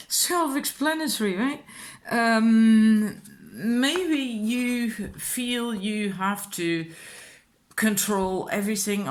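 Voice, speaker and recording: A woman with a Dutch accent, a native Dutch speaker, giving informal, encouraging spiritual advice as a card reader in a rambling, casual way. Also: mid-range voice. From Netherlands.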